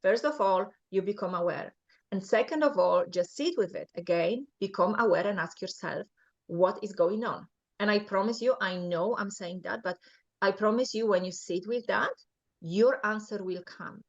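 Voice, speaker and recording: A woman's voice: medium pitch, moderate pace. Polish lady coach talking earnest, keeps asking right?, muffled lo-fi sound. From Poland. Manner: earnest, often checks in with "right?". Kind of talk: coaching advice in an interview. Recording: muffled lo-fi audio.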